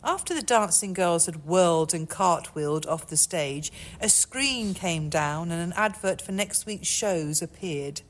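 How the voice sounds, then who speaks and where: A woman's voice: fairly low pitch, measured pace, hardly any pauses. A woman author reading her own novel aloud in a scripted storybook narration style. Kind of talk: storybook narration and casual chat. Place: chilly old music hall auditorium.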